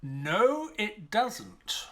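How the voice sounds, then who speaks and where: An actor playing a loyal, polite doctor who narrates and speaks in an earnest, somewhat hesitant way. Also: male voice, high-pitched, big pitch swings, extremely slow, full character voice.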